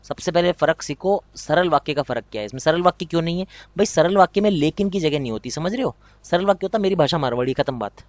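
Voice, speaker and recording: A man's voice: on the high side, expressive, quick pace, no room sound. A young man with a Rajasthani accent teaching an exam prep lesson, repeating key points and asking students rhetorical questions.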